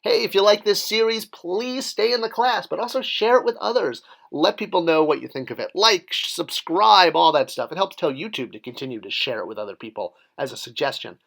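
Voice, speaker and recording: A man's voice: high-pitched, expressive, brisk, creaky, hardly any pauses, a bit bright. A man teaching how to read and draw hieroglyphs in a casual tutorial, explaining step by step with friendly, self-deprecating asides. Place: voice-over for an overhead video of paper drawings.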